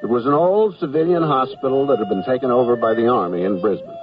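An actor playing a wry, polite army draftee in a radio comedy, speaking in a dry, understated, deferential way. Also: male voice, medium pitch, animated, fast, over music, close to an everyday voice. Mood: wry narration. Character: wry, polite male army draftee narrating his misadventures. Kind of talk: radio comedy acting and scripted narration. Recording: muffled, noisy, distant 1970s radio recording.

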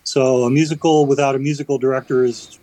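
Brief: man as a radio guest, middle pitch, dry studio mic, eager and rambling. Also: little pitch movement, fast talker, dark tone, no room sound, poor audio. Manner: chatty, explains with fillers and restarts. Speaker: theater company vice president, guest. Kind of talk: radio interview.

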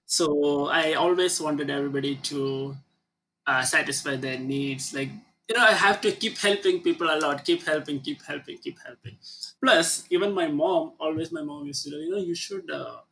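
A man's voice: a little high, normal speed, vocal fry, pushed and bright, slight background noise, rough recording. A man in his twenties with an Indian accent, talking casually and hesitantly as an interview guest. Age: 20s. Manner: hesitant, lots of fillers like "you know".

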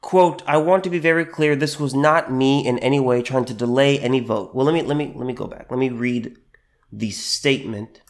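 A mid-pitched man talks through a solo podcast, sounding a little under the weather from allergies. He stops and restarts often, repeating his phrases and filling gaps with ums and uhs. When he wants to drive a point home, he slows right down. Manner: halting, repeats phrases, many fillers. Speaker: podcast host.

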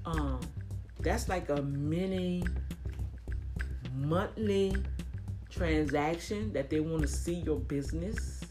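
A hoarse middle-aged man talking casually from his car, preaching encouragement and repeating phrases. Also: a little high, slow, long pauses, over music. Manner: lively, preachy, repeats phrases, laughs a lot. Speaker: vlogger and small business owner.

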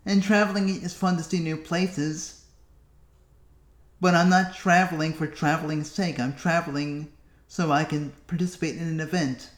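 A man's voice: a little high, steady tone. Musing podcast man in an echoey room. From United States. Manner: musing, self-talking, with long pauses. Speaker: podcast host. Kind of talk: solo reflective podcast monologue.

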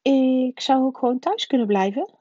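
An earnest, put-upon young boy from a storybook, played by a woman reader, high-voiced and hopeful. The recording is dry and slightly dull. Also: animated, moderate pace, warm tone, dry recording. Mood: hopeful, protesting.

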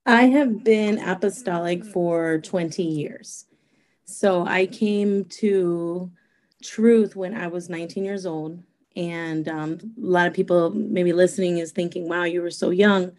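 A woman's voice: fairly low pitch, steady tone, unhurried. A woman in her thirties from Costa Rica, raised in California, telling her life story with a laugh in her voice. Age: 30s. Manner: bubbly, laughs often, warm and open. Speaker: guest sharing testimony. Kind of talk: casual interview, personal testimony.

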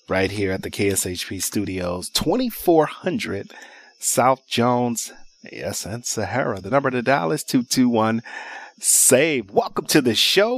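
A man hosting a live radio shopping show from a studio, rattling off deals in an upbeat sales patter and repeating the call-in number. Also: mid-range voice. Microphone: studio microphone.

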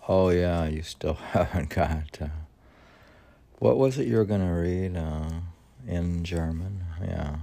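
A man hosting a poetry podcast, reading verse and musing aloud in halting, questioning asides as if talking to himself. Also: low voice, vocal fry, mellow. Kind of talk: poetry reading with rambling casual commentary.

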